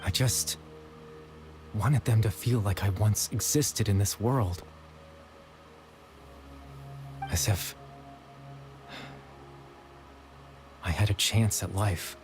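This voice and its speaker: A man voicing a gentle, melancholy young man in a video game, soft-spoken, hesitant and wistful. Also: on the low side, measured pace, lightly acted. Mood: apologetic, wistful. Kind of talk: dramatic video game voice acting. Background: game music.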